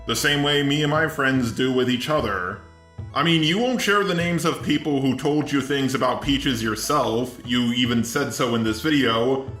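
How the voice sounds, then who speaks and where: A bright, forceful robotic man's voice reads argumentative scripted commentary. The sound is echoey, with music playing behind it. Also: mid-range voice, moderate pace. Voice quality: synthetic robot text-to-speech voice. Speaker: commentary channel host. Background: background music.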